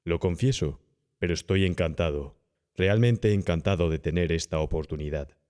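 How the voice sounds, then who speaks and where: A man's voice: low-pitched, normal speed, a little mellow, lightly acted. A man playing an eminent older doctor in a horror audiobook, pompous and falsely courteous, with a Castilian Spanish accent. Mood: falsely cordial. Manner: pompous, condescending, evasive politeness. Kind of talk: dramatised audiobook horror tale.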